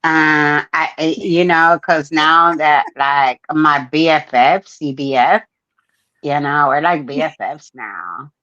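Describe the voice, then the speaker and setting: A woman's voice: low-pitched, takes it slowly, pushed and bright, lo-fi sound, full character voice. A woman voicing a brash valley-girl Mexican woman who cusses, in a put-on Mexican accent and a drawling sing-song way. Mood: mocking. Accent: Mexican-accented English, put on.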